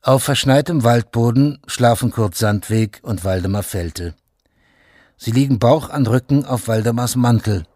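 A man's voice: medium pitch, unhurried, darkish tone, dry recording, studio quality. A man narrating a scripted radio drama in a sober, factual manner, in short plain statements.

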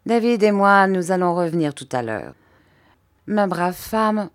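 A woman voicing a controlling husband in an audiobook, measured and courteous with a cold menace. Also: mid-range voice, lively intonation, close to an everyday voice. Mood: smoothly commanding.

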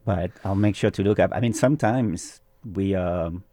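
Deep-voiced man co-hosting radio. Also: moderate pace, a crowd in the background. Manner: loose and conversational, with fillers and chuckles. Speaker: radio co-host. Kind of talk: casual radio chat.

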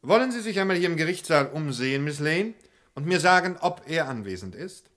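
A man's voice: on the high side, very animated, a little fast, fairly bright tone. A man playing a formal, insistent courtroom lawyer who questions precisely, in a German radio crime play. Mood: formal, probing.

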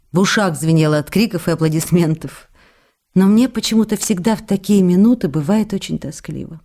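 A woman playing a tender actress, bright low voice. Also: close to an everyday voice. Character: affectionate, emotional young stage actress writing to her lover. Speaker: actress in an audio drama. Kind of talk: dramatic reading of letters for radio.

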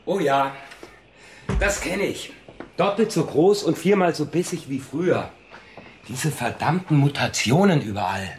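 An actor playing a proud, wheedling small-town farmer in a radio drama, speaking in a familiar, insistent and emotional way. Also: male voice, fairly high pitch, lively intonation, unhurried, slight background noise. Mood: chatty. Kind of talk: German radio drama acting.

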